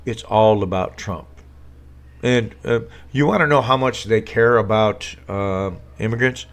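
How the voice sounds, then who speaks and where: A man's voice: on the low side, measured pace. A man hosting a casual live stream from home, talking politics in a sarcastic, rambling way with many fillers and restarts. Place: home room with a green screen.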